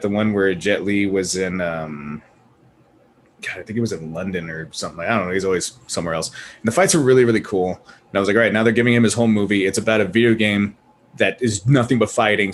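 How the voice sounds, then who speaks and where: A man's voice: on the low side, a little hiss or hum. A man chatting casually as a panelist on a gaming show, telling stories and ranting about films in a sweary, jokey way. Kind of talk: casual chat, animated film rant.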